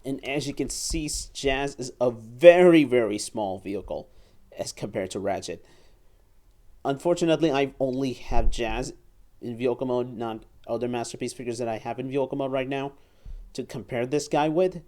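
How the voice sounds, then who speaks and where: A man with a mid-pitched voice presents a hands-on toy review. He explains step by step in a halting way, often repeating a word or phrase before moving on. He is recorded indoors on a camera mic at about arm's length. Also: darkish tone. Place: indoor room at a table.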